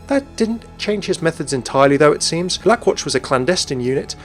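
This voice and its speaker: A man reading scripted narration for a video essay. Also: fairly high pitch.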